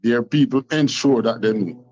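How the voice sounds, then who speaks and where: A man's voice: medium pitch, moderate pace, a little mellow, dry recording. A Jamaican man teaching a class, talking emphatically and repeating words to drive his points home. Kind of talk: lecturing class talk. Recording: dull, muffled, dry sound.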